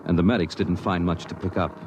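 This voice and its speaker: An American man announcing and narrating a 1950s radio drama in a formal, measured, dramatic manner. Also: low voice, steady tone, noisy background.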